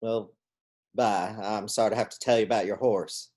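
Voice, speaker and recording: A man's voice: fairly low pitch, fairly even intonation, moderate pace, a little mellow, lightly acted. A man voicing a kindly, plain-spoken old friend in a stage play reading, easygoing and awkwardly polite. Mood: mild, awkward.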